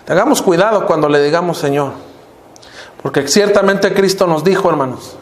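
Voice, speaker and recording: An older man with a Mexican accent preaches a sermon, his voice sitting fairly high. He keeps addressing his listeners as brothers and lets his pitch sink at the close of each phrase. The sound carries the echo of a roomy church hall. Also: normal speed. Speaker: minister.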